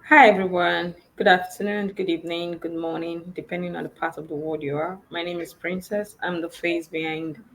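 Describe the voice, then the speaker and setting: A woman's voice: on the low side, level tone, normal speed, few pauses, slight background noise, low-quality recording. A woman from Nigeria, an IT business analyst giving a confident introduction.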